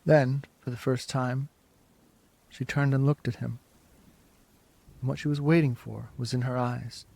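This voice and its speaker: Slow, dry-sounding male audiobook narrator. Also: medium pitch, steady tone, creaky, darkish tone, no echo. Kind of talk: dramatic literary story narration.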